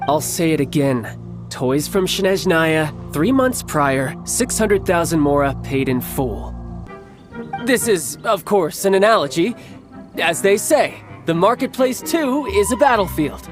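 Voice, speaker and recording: An actor playing a cocky, playful young warrior in a video game, smooth and teasing with theatrically formal speech. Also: male voice, on the high side, lively intonation, measured pace, over music. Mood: smug, commanding. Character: cocky, playful young male warrior posing as toy salesman.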